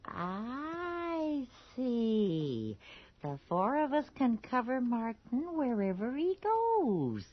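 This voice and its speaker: An actress playing a calculating small-town conspirator in a radio drama, measured and conspiratorial. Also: female voice, a little high, big pitch swings, heavily acted. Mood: sly, calculating. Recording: muffled old radio drama recording.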